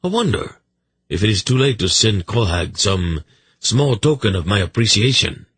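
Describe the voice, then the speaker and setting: A man's voice: lowish voice, big pitch swings, moderate pace, a bit bright, husky, no echo, voice pushed up, full character voice. A man voicing a sardonic, plaintive young king with a mocking, theatrical manner in a fantasy audiobook. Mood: bitterly mocking.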